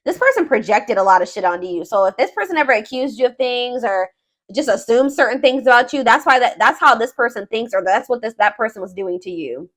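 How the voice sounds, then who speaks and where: High-voiced woman giving a casual tarot reading. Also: expressive, brisk, fairly bright tone. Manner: informal, conversational, searching for words aloud, emphatic. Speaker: tarot reader, host.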